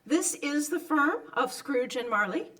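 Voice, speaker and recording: Woman acting a high-voiced, courteous charity lady. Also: lively intonation, unhurried, sharp tone, distant mic, heavily acted. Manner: courteous, formal, persistent. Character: polite, earnest genteel lady collecting for charity. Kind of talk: old-time radio drama acting.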